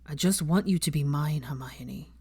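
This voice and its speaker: A woman voicing an anguished, angry young man in a dramatic audiobook, forceful, bitter and pleading. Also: low voice, normal speed, husky, no echo, studio quality. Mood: weary. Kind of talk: dramatic audiobook character acting.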